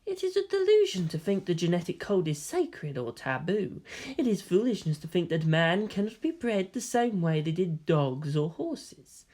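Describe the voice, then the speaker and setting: A silky young male schemer, acted out by a story reader speaks softly and with condescension. His pitch swoops as he lectures, sounding smug and faintly inhuman in a dramatic fiction reading. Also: big pitch swings, normal speed. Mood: smug persuasion.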